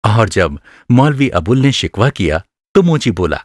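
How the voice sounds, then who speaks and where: A male storyteller narrates a radio drama in a low voice with very lively intonation. The delivery is literary and dramatic, and the sound is dry and close. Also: dry recording.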